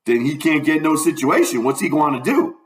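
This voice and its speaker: A man's voice: a little high, very animated, a little fast, voice pushed up. A man voicing a stubborn, uneducated runaway in a storybook reading, with a folksy Southern US dialect and an emphatic, argumentative manner. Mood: puzzled. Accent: Southern US dialect, put on. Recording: roomy, echoing room.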